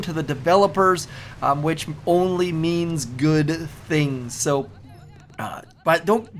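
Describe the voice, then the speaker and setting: Chatty youthful-voiced man, gameplay host. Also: fairly high pitch. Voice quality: youthful-sounding voice. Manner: chatty, rambling, repeats words. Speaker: game channel host. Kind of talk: casual gameplay commentary.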